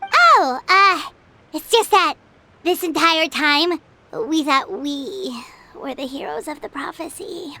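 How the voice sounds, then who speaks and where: A woman voicing a small, talkative fairy-like girl companion in a video game, squeaky and childlike, exclamatory and easily indignant. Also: animated, slow pace, gravelly, bright, forceful tone, exaggerated voice. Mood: flustered, puzzled. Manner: refers to self in third person, exclamatory. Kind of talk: animated video game character acting.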